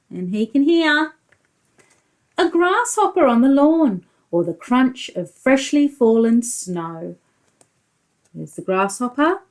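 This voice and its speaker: A woman's voice: a little high, a little mellow. A woman preschool teacher reading a storybook aloud to young children, expressively, explaining as she goes and putting questions to the listeners.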